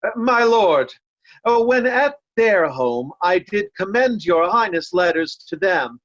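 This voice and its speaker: An actor playing a weary, loyal, stubborn nobleman in a Shakespeare read-through, speaking halting verse. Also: high voice, expressive, a bit bright, no echo, muffled recording. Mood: indignant.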